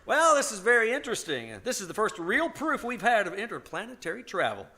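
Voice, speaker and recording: Radio comedy part: male actor as smooth, eager wax salesman, bright delivery, lively pitch, relentlessly promotional. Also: a little fast, full character voice. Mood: enthusiastic, glib. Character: enthusiastic, glib adult male floor wax salesman. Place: live stage performance at a winery.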